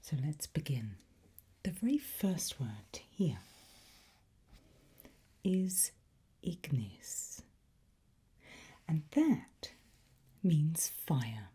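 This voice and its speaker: Slow, breathy whispering woman. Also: sits low, big pitch swings, dark tone. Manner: slow, deliberate, coaxing instruction with pauses. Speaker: ASMR performer playing a language teacher. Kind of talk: whispered ASMR teacher roleplay.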